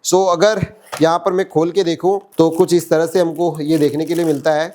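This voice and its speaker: A man's voice: a little high, level tone, moderate pace, darkish tone. A man with an Indian accent giving a casual, explanatory product demo as a reviewer, mixing in English terms. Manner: conversational, explanatory, Hindi mixed with English terms.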